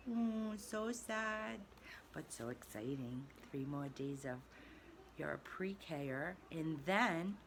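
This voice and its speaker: Woman, pre-K teacher, slow sing-song storytime read, low voice, faint noise. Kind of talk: reading a storybook aloud to young children.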